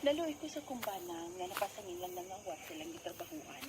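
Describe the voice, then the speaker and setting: A woman's voice: mid-range voice, animated, fast, noisy background, close to an everyday voice. A woman acting a worried wife who recounts her family's troubles in a radio drama, with a Cebuano Filipino accent. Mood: sorrowful, recounting.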